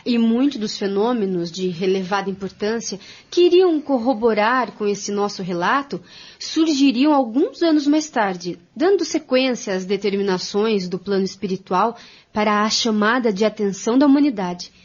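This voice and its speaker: A woman with a Brazilian accent playing an earnest, formal spiritist commentator in a scripted radio drama, with a husky voice. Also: medium pitch, lively intonation, normal speed, lightly acted. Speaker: radio drama narrator and discussant. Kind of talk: scripted radio drama narration in dialogue form. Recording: dull, lo-fi audio.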